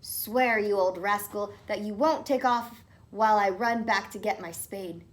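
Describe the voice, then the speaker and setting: A woman's voice: medium pitch, a little slow, natural voice. A woman voicing a cocky, clever young man in a storybook, with a bold, confident manner. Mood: determined.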